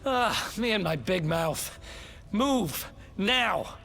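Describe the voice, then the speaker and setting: A man's voice: sits high, lively intonation, drawn-out words, vocal fry, fairly bright tone, full character voice. An actor voicing a cocky young male thief in a game cutscene, full of sarcastic quips. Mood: wry. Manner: quippy, sarcastic asides. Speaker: game character. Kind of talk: video game cutscene voice acting.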